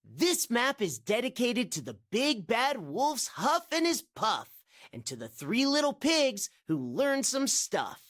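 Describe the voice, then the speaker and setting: A woman's voice: fairly high pitch, very animated, slow, fairly bright tone, dry recording, clean studio sound. Sing-song woman narrating rhymes. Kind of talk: scripted rhyming storybook narration.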